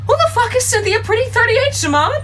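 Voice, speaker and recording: A woman's voice: very high voice, animated, fast talker, fairly bright tone, slight background noise. A young woman playing a jealous, suspicious girlfriend in a naturalistic radio play, blunt and confrontational. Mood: accusing.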